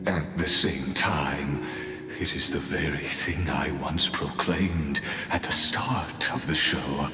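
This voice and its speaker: An actor playing a cold, theatrical mastermind orator in a video game, declaiming ceremoniously and ominously. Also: male voice, rumbling low, takes it slowly. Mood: grandiose, ominous. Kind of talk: dramatic video game voice acting. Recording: echoey hall reverb under music.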